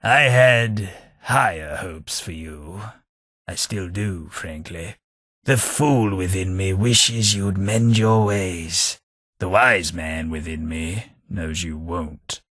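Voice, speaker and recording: A man's voice: deep voice, takes it slowly, fairly bright tone, no room sound, clean studio sound, exaggerated voice. An actor voicing a sardonic, pompous companion figure who was once a man, speaking with wry dryness in a game. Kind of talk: video game voice acting.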